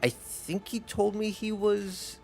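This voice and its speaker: A man whose first language is Spanish, chatting casually as a streamer while gaming, in a rambling, relaxed way. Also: high-pitched, takes it slowly, creaky, faint music behind. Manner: rambling, relaxed, laughs easily.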